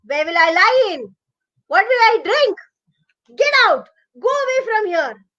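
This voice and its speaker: A woman's voice: very high voice, big pitch swings, a little slow, sharp tone, muffled recording, voice pushed up, exaggerated voice. A woman voicing an irritable, territorial male crocodile in a storybook tale, scolding and indignant. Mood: alarmed scolding.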